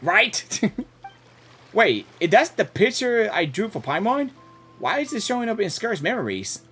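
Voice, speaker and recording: A woman gaming streamer giving casual live reactions in an informal, halting, exclamatory way. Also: medium pitch, big pitch swings, a bit bright, husky, faint music behind.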